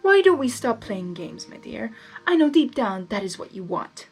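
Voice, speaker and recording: A woman's voice: fairly high pitch, big pitch swings, moderate pace, faint music behind. A woman voicing a seductive, manipulative male chaos creature, coaxing and hypnotic, in a story reading. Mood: grand tempting.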